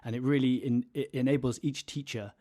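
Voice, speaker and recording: A man's voice: medium pitch, fairly even intonation, a little fast, warm tone. A man in his thirties, a teacher co-hosting a casual podcast with a playful, self-mocking humor. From England.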